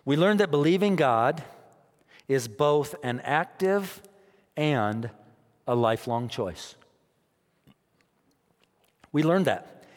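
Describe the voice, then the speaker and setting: A man preaching, his voice somewhat high for a man. He drives his points home by repeating them, dropping to near quiet and then rising again. A long reverb from the hall hangs on his words. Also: lively intonation, takes it slowly, long pauses. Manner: emphatic, repeats phrases, rises and drops in loudness. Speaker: pastor. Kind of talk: sermon.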